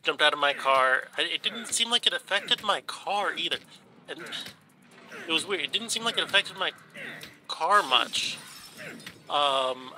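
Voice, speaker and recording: A man narrates his gameplay in a loose, rambling way, with a stuffy, runny nose. His voice sits a little high and comes across very bright and sharp. A faint hiss runs under the recording. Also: lively intonation, a little slow, long pauses. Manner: rambling, many ums and restarts. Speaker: gaming YouTuber. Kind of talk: casual let's play commentary.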